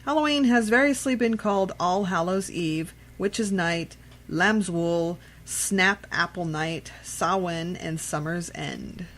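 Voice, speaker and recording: A woman's voice: fairly low pitch, takes it slowly, fairly bright tone. Woman reading trivia on radio. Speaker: radio show co-host. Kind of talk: reading trivia aloud with casual banter.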